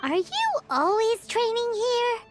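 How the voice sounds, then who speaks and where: Very high, squeaky little fairy. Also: female voice, huge pitch swings, slow, over music, full character voice. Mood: curious. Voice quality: squeaky childlike. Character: tiny floating female fairy companion, childlike, cheeky, curious. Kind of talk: video game voice acting.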